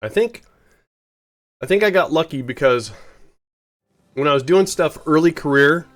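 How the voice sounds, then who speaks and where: A man's voice: a little high, lively intonation, measured pace, soft background music. A man with a head cold giving rambling, casual commentary while live-streaming a space game, often correcting himself.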